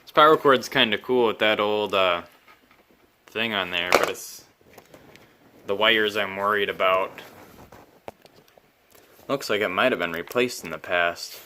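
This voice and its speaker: Male hobby repairer, lowish pitch, very unhurried pace, casual off-the-cuff narration, halting and musing. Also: pushed and bright, slight background noise. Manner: thinking aloud, musing and puzzled, halting. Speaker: hobbyist repairing a vintage radio on video. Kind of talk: casual unscripted workbench commentary.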